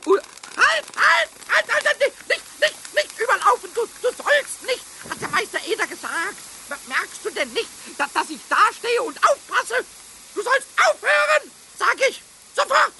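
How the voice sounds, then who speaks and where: A man playing a cheeky, childlike little kobold in a children's radio play, shrill and creaky, stammering and repeating words excitedly. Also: normal speed, slight background noise, full character voice, low-quality recording. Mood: agitated.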